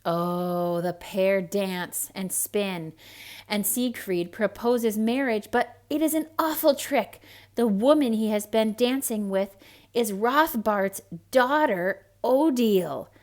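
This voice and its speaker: Lady doing a theatrical kids storytime read-aloud, expressive n dramatic, medium pitch, hushed little asides. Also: slow pace. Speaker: children's storybook reader.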